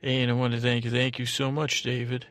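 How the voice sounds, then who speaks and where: A man with a creaky voice hosting a sleep podcast in a halting, meandering, informal monologue that often doubles back on itself. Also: medium pitch, monotone, music in the background. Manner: halting, meandering, self-interrupting. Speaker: podcast host.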